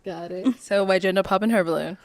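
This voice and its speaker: A woman hosting a dating show, brisk and directing, teasing the contestants now and then. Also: mid-range voice, no room sound.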